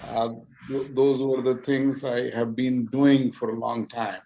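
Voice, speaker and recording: Casting a male physician guest on a video call: unhurried, halting speech full of fillers, mid pitch, light background noise. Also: flat delivery, mellow, low-quality recording. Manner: hesitant, many fillers and restarts. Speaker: guest speaker, physician and YouTuber. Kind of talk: conversational interview answers.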